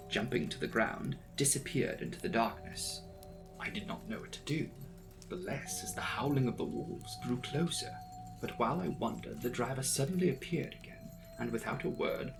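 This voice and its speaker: A man's voice: mid-range voice, vocal fry, poor audio. A man giving a dramatic, suspenseful reading of a classic gothic novel as an audiobook narrator.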